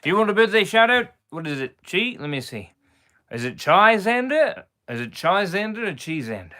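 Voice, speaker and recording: A man hosting a live stream in a put-on pirate English drawl, playful and theatrical. His pitch swings widely from phrase to phrase. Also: sing-song, moderate pace, bright, forceful tone. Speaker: impressionist streamer. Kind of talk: live stream shout-outs.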